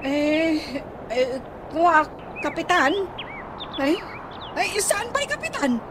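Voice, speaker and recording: Woman playing squeaky, anxious village gossip. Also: very animated, slow pace, background noise, heavily acted. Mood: confused. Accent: Ilocano. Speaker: voice actor in radio comedy drama. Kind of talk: comedy radio drama acting.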